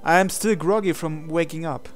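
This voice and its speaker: Higher-pitched man narrating a game playthrough. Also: normal speed, faint music behind. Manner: reads prose aloud, breaks into incredulous asides. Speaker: gamer reading a visual novel aloud.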